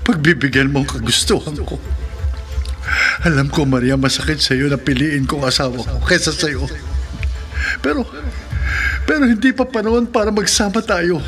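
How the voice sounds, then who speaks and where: A man's voice: fairly high pitch, animated, moderate pace, fairly bright tone. A man playing a torn, earnest husband in a melodramatic horror radio drama, with a Filipino accent. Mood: pleading, earnest. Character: torn, earnest husband caught between two women. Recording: dramatic music behind the voice.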